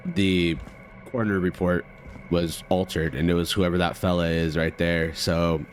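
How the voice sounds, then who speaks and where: A low-voiced American man gives informal commentary on a game. He is slangy and thinks aloud, with faint noise and game ambience behind him. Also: little pitch movement, unhurried. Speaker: gaming streamer.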